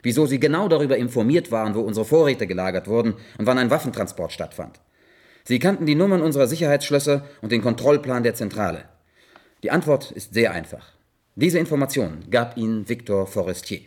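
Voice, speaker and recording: A man's voice: medium pitch, expressive, fairly quick, darkish tone, natural voice. An actor playing an earnest, methodical male investigator in a German radio play, speaking formally, precisely and insistently. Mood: earnest, accusing.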